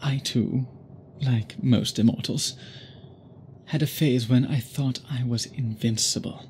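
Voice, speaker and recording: A man performs as an immortal male vampire suitor, obsessed and weighed down by guilt. His medium-pitched voice moves very slowly in formal, halting phrases, with a brooding intimacy suited to an ASMR drama. Noticeable background ambience sits behind him. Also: darkish tone, slight background noise. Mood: earnest, guarded.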